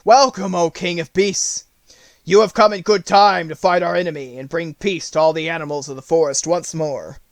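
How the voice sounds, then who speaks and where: Man voicing a loud, emphatic storybook tiger. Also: on the high side, steady tone, normal speed, dry recording, close to an everyday voice. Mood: reverent, urgent. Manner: formal, emphatic declaiming. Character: big anxious tiger speaking formally to his king.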